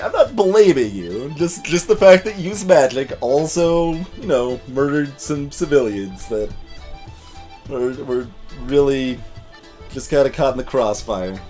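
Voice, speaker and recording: A man live-streaming a game with playful, animated delivery, chatting casually and reading the game text aloud. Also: medium pitch. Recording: music behind the voice. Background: game music.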